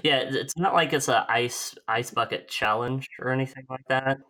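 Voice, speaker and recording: A man hosting a podcast, easygoing and quick to laugh as he wraps up an interview. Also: mid-range voice, pushed and bright. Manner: easygoing, laughs often, fillers and restarts. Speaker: podcast host. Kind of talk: casual interview chat.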